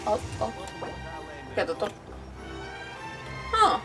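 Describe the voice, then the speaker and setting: A woman's voice: mid-range voice, wildly swooping pitch, slow pace, sharp tone, distant mic, faint music behind. A woman gamer hosting a casual livestream, chatting in a rambling, giggly way and often interrupting herself.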